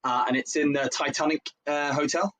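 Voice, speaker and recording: A man co-hosting a podcast, chatting excitedly and calling his guest "mate" a lot. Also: fairly high pitch, moderate pace. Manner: excitable, peppers talk with "mate". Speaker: podcast co-host. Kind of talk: casual podcast conversation.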